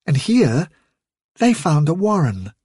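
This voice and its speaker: A storybook mother rabbit played by a man spins a fairy tale for her young. The voice is raised high and lilting, with a slightly husky grain and swooping melody. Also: very animated, slow pace, darkish tone, no room sound, exaggerated voice. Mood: rapt, storytelling.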